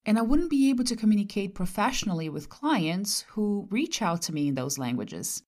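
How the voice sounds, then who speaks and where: A woman podcast host from Brazil, a native Portuguese speaker, telling a measured, reflective personal monologue. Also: medium pitch, very animated, a little mellow.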